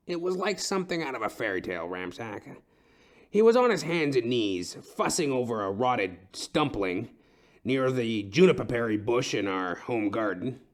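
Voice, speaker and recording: An actor playing a melodramatic, lovesick older timber tycoon with a pompous, theatrical way of talking in a comedic detective audio play. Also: male voice, medium pitch, fairly bright tone, full character voice. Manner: florid, theatrical, pompous storytelling.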